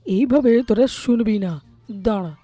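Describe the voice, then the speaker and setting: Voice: mid-range voice, normal speed, dark tone, soft background music, lightly acted. An actor voicing a hot-headed young male parrot, petulant and emphatic, in a cartoon. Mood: defiant.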